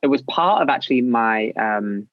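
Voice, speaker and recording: A man's voice: mid-range voice, expressive, moderate pace, no room sound. Enthusiastic man on a dull call. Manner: enthusiastic, effusive. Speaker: guest, writer. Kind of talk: casual video interview.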